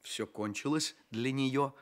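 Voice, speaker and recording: An actor playing a courteous, playful storyteller with theatrical, drawn-out emphasis in a children's radio play. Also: male voice, medium pitch, lightly acted. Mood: soothing, grand. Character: courteous, playful male storyteller addressing fairy-tale characters. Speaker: storyteller and host.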